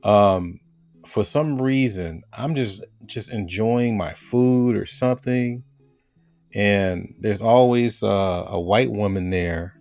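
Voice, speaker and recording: An American man hosting a casual interview, talking in a relaxed, rambling way with lots of fillers and restarts. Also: lowish voice, slow pace, faint music behind. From United States. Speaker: host.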